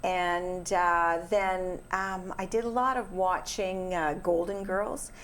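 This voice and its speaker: A woman talking haltingly as an actor in a casual interview. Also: fairly low pitch, fairly even intonation, fairly bright tone, a little hiss or hum. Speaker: amateur actor, interview guest.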